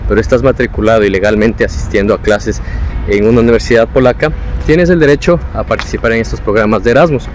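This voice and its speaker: Low-pitched young Ecuadorian man, chatting informally. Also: fast, a little hiss or hum. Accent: Ecuadorian Spanish. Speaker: vlogger, former international student. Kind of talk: casual informal monologue giving advice and personal experience.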